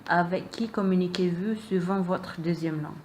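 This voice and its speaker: A woman's voice: lowish voice, normal speed, a little mellow, a little hiss or hum. A young woman, a non-native speaker whose first language is Malay, asking interview questions hesitantly, with many fillers and repeated answers. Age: young adult. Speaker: student interviewer.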